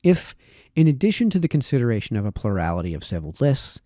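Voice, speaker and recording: A man reading formal essays aloud as narration, with measured, emphatic phrasing and deliberate pauses. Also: medium pitch, big pitch swings, mellow, dry recording, clean studio sound. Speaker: amateur reader of historical essays.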